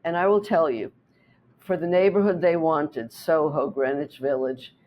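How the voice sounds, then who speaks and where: A woman real estate agent whose first language is German, calmly explaining her work and telling a client story in an interview. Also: low voice, unhurried, lo-fi sound. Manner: steady, explanatory, with small restarts.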